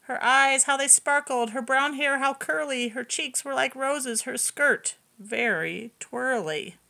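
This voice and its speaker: A woman reading a picture book aloud to young children, expressive and explaining things simply. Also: high-pitched, measured pace, vocal fry. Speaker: preschool story reader.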